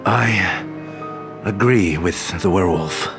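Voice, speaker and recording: A man playing a grave, mysterious adventurer in a radio drama, speaking in a measured, theatrical, formal way. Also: low-pitched. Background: dramatic music.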